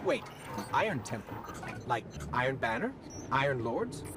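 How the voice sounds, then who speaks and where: An actor playing an inquisitive, excitable little robot companion in a video game, chatty and expressive. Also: male voice, medium pitch, measured pace. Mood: puzzled. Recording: game noise behind the voice.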